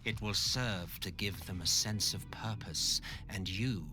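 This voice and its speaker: An actor playing an ancient, world-weary sorcerer schemer in a video game, with a theatrical, drawling, condescending and mocking delivery. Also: male voice, on the low side, measured pace, natural voice. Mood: weary disdain. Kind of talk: video game villain acting. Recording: orchestral game music behind.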